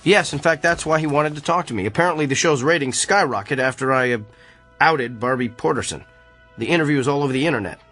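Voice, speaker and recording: A man's voice: medium pitch, quick pace, fairly bright tone, soft background music, natural voice. An actor playing a pompous, defensive male doctor with an inflated view of his gift, in a radio drama. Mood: smug. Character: arrogant, self-important gifted male doctor.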